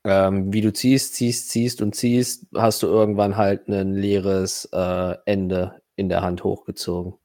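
Low-voiced man narrating a tabletop game. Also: flat delivery, takes it slowly, few pauses, darkish tone. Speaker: game master. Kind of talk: improvised narration of a tabletop role-playing game.

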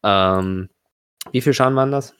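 A man with a fairly low voice acts as game master in a tabletop role-playing session. He narrates casually and searches for his words, in a very dry, close-sounding space. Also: moderate pace, fairly bright tone, smooth, no echo.

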